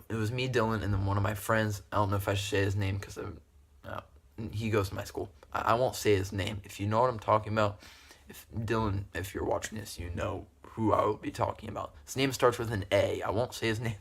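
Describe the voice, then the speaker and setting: A man's voice: fairly low pitch, creaky, fairly bright tone. A young man telling a story casually to camera in a rambling, giggly, repetitive way. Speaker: video creator telling stories.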